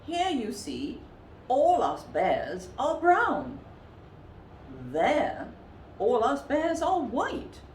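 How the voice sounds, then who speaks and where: A woman's voice: sits high, wildly swooping pitch, extremely slow, darkish tone, echoey room, slight background noise, pitched above the performer's own voice, heavily acted. A woman voicing a big, furry, kindly female polar bear in a children's storybook, fretful and exclaiming. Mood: earnest.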